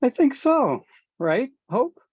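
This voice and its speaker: A guilt-ridden father stammers through apologies, read by a woman at a table read. He speaks very slowly with a lively rise and fall. The sound is dry and a little dull. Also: high voice, breathy edge, dry recording, full character voice. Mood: hesitant.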